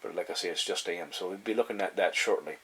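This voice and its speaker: A man giving a casual, unscripted show-and-tell in a halting, meandering way, often repeating himself. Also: deep voice, fairly bright tone. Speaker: hobbyist collector presenting his radio. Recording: clear background noise, room ambience.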